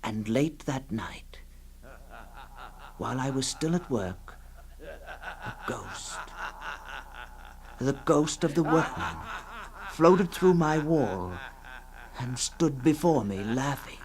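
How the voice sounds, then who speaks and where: An actor playing a brooding, scornful man who tells an uncanny tale in a theatrical, deliberate 1960s radio reading. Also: a little high, sing-song, gravelly, heavily acted. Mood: brooding, eerie. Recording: noisy 1960s radio recording.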